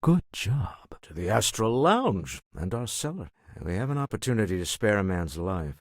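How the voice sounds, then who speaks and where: Wry, urbane older businessman, animated delivery. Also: fairly low pitch, normal speed, dry recording, studio quality. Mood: composed, urbane. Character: wealthy older businessman, urbane, pragmatic, wry financier of explorers. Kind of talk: video game character dialogue.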